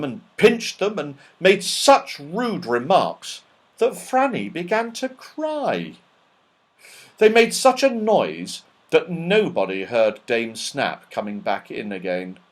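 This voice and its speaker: A man narrates a children's story in an expressive, dramatic way. His pitch rises and falls widely, and he takes his time over the scripted text. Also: slow pace. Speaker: audiobook reader.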